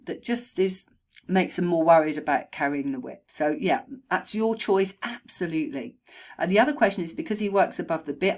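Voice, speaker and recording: Woman, very low voice, hoarse and croaky from a cold, horse trainer giving voice-over feedback. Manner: chatty, thinking aloud with many ums and restarts. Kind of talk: recorded voice-over feedback on a riding video. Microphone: new home microphone.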